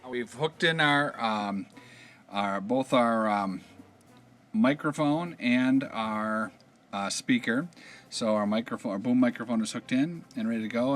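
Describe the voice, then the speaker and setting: A man giving a casual step-by-step installation tutorial, explaining in a halting way and often trailing off. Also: fairly low pitch, fairly bright tone. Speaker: product installation instructor.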